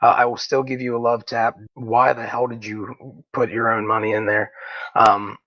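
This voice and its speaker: A man's voice: medium pitch, little pitch movement, moderate pace, no echo. Male host, earnest and rambling, dead-dry muffled call audio. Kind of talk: casual online hangout discussion.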